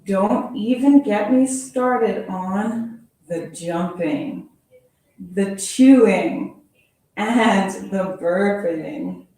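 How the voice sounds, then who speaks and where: A woman teacher reading a children's picture book aloud in a playful, expressive storytelling manner, adding little sound effects. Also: medium pitch, very slow. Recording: very echoey room from far off.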